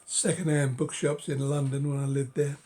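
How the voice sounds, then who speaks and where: A middle-aged man with a cold, speaking hoarsely and haltingly in a casual show-and-tell of his books, often dropping to a whisper. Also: medium pitch, level tone, warm tone, a little hiss or hum. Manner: halting, many ums and uhs, drops to a whisper. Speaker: book collector vlogger.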